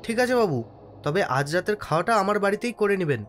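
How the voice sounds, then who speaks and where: A man playing a deferential village tea seller and helper, speaking in an animated, cautioning way in a horror cartoon. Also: high-pitched, brisk. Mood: agreeable, humble. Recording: music under the voice.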